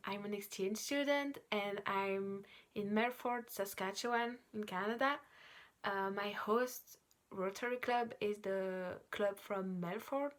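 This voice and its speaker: A young woman from Belgium speaking slowly and haltingly about her time as an exchange student. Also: medium pitch, a bit bright. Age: young adult. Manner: slow, halting, with pauses. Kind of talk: personal testimonial.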